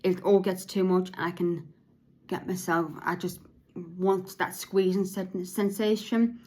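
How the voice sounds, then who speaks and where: Woman, lowish voice, halting speech with restarts, at times monotone. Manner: says her voice is sometimes monotone; halting, with frequent restarts and repeated words. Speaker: podcast host.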